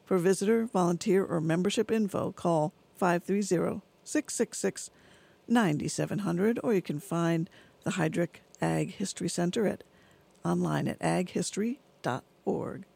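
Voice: mid-range voice, moderate pace, gravelly, darkish tone, breathy edge. A radio DJ presenting songs and reading announcements between tracks in a studio. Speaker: radio DJ and host.

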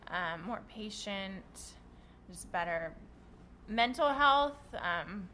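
A woman's voice: mid-range voice, expressive, drawn-out words, pushed and bright, slight background noise. A middle-aged woman answering interview questions casually, with some fillers. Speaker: yoga studio owner.

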